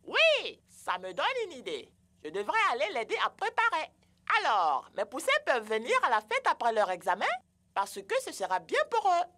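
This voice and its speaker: A woman voicing a proud, excitable mother bird who dotes on her chicks in a children's cartoon, with an effusive delivery. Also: a little high, normal speed, heavily acted. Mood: excited. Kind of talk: children's educational cartoon dubbing.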